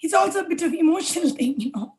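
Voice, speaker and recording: A woman's voice: extremely high pitch, lively intonation, fast talker, a little mellow. A woman, raised in Kuwait, hosts the event and shares a personal story earnestly. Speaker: event host.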